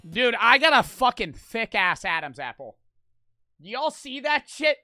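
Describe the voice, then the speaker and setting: A young man streaming casually, talking fast and animated with lots of swearing, close to the mic. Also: extremely high pitch, faint music behind.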